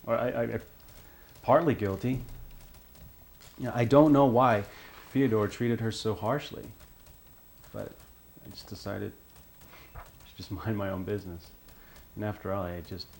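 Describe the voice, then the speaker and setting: An actor playing a guilt-ridden, hesitant young outsider who explains himself in halting, self-correcting testimony in a film drama. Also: male voice, on the low side, gravelly, darkish tone. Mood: guilty, uneasy. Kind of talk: naturalistic film monologue, testimony-like.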